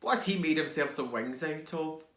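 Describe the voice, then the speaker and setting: A man reading a children's storybook aloud as a teacher, expressively, with dramatic pauses and hushed asides. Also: fairly high pitch. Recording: roomy, echoing indoor sound.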